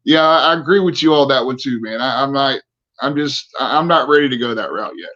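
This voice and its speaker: A man in his forties talks candidly as a podcast guest, earnest and halting, often starting his sentences over. His voice sits at an ordinary pitch. The sound is a little muffled and dull. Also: a bit bright. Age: 40s. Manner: earnest, halting, many restarts. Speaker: interview guest, army veteran.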